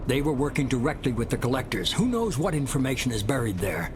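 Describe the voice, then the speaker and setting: A man playing a calm, ruthless mastermind. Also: medium pitch, over music, natural voice. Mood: persuasive. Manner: calm, measured, persuasive, commanding. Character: ruthless human mastermind, middle-aged man, cool and manipulative. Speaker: shadowy organization leader.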